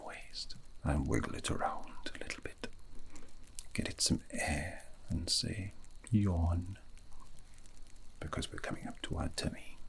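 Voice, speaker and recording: A man playing a gentle, wistful fantasy mage in an ASMR roleplay, soothing a weary friend to sleep in a formal, poetic way with a low, breathy whisper. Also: full character voice.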